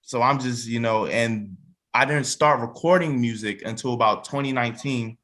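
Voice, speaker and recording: A man's voice: medium pitch, normal speed, fairly bright tone. A man in his twenties chatting casually in an interview, often repeating phrases for emphasis. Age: 20s. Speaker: musician and songwriter interviewed as a cast member.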